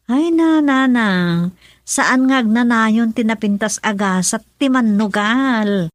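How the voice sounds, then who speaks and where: A woman's voice: on the high side, lively intonation, slow. Give me a woman playing a tired, ailing young daughter. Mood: questioning. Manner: questioning, then faint and tired. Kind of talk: radio drama acting.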